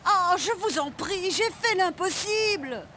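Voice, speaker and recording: Very high-pitched, worried lodge manageress. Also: female voice, lively intonation, a little slow, bright, forceful tone, a little hiss or hum, full character voice. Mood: distressed. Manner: plaintive, dramatic. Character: worried, flustered woman managing a ski lodge. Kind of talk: storybook read-along dub.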